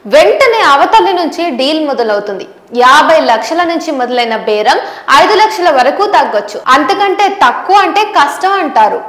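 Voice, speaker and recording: A woman's voice: high voice, big pitch swings, moderate pace, fairly bright tone. A woman journalist, presenting a scripted news explainer directly to viewers. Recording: roomy, echoing voice recording.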